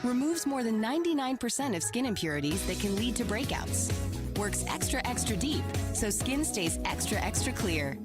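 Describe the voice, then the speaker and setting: A woman's voice: a little high, very animated, normal speed. A woman reading a scripted voice-over for a skincare advertisement. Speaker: commercial voice-over. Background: loud music.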